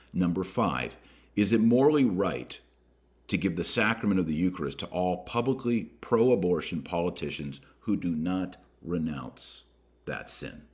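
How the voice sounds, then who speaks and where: An American man hosting a podcast, reading a document aloud and commenting on it with deliberate emphasis. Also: low voice, a little mellow. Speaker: podcast host.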